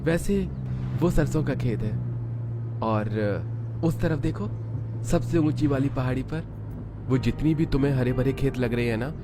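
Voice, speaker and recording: A man voicing a calm, reassuring young newlywed husband in a cartoon story. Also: medium pitch, unhurried, long pauses, noisy background, pitched above the performer's own voice, natural voice.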